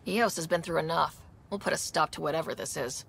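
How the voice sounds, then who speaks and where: Forceful female space commander. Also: low voice, brisk, gravelly, slight background noise. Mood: resolute. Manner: decisive, clipped commands. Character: resolute young female space explorer commander. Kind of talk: video game voice acting.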